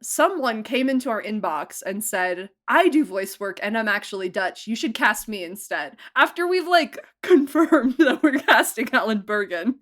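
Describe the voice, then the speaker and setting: A woman talks through her work as an audio drama producer in a loose, giggly interview. Her voice sits high and swoops all over for emphasis. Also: normal speed, hardly any pauses. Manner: animated, laughs often, jumps up high in pitch for emphasis. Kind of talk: casual interview chat.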